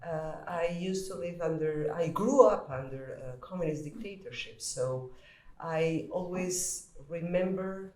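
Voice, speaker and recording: A woman from Albania with an Albanian accent, an author telling stories about her life with halting restarts in a panel conversation. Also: fairly low pitch, darkish tone. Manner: reflective, storytelling, halting restarts. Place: hall at a literary event. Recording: echoey hall, far from mic.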